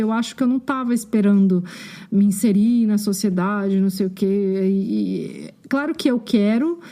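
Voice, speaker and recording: A Brazilian woman with a Brazilian accent, a podcast guest chatting casually and frankly, animated and quick to laugh. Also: mid-range voice. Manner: animated, frank, swears when annoyed, laughs easily. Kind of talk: casual chat.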